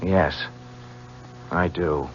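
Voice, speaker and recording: An actor playing a defiant, earnest young adult son in a 1970s radio drama, speaking in a challenging, pointed way. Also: male voice, low voice, fairly even intonation, drawn-out words. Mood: earnest, upset. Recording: muffled, hissy old radio broadcast with hum.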